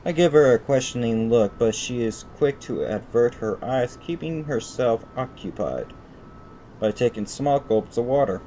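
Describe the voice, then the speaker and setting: A man hosting a gaming video, reading visual novel narration aloud haltingly and repeating phrases. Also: medium pitch, animated, warm tone, a little hiss or hum, rough recording.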